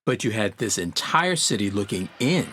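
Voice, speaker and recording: A man's voice: fairly low pitch, very animated, normal speed, noisy background. A man acting as an outspoken, sardonic city commentator in an audio play, emphatic and wry. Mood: indignant.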